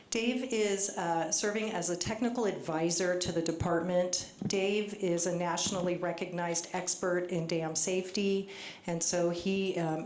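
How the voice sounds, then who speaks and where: A soft-spoken woman, a state agency official, presenting at a public community meeting, a little nervous and reading from her notes. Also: lowish voice. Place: meeting hall. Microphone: handheld microphone. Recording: echoey hall with faint noise.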